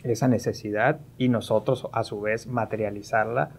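A man's voice: fairly low pitch, fairly even intonation, normal speed, gravelly, breathy edge. A man, talking conversationally on a podcast and often ending phrases with short tag questions. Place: small padded podcast studio. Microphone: close studio mic on stand.

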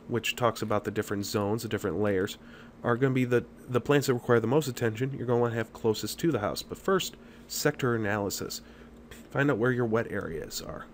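Low-voiced man explaining, noisy background. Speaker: channel host. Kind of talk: informal explainer narration over screen recording. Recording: clear background noise.

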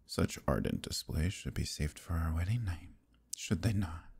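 A man playing a very deep, gravelly fae prince. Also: animated, a little mellow, heavily acted. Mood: teasing, smug. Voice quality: husky, gravelly, breathy. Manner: courtly, measured, dropping into whispers. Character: proud fae prince, teasing, possessive, courtly lover. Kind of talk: ASMR roleplay, intimate archaic theatrical acting.

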